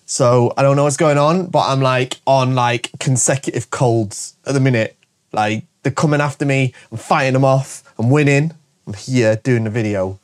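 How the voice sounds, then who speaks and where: A man's voice: mid-range voice, moderate pace. Stuffy-nosed man hosting. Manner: energetic and jokey, repeating "all right?" often. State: congested stuffy voice from a cold. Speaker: show host and shop owner. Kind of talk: casual promotional monologue to camera.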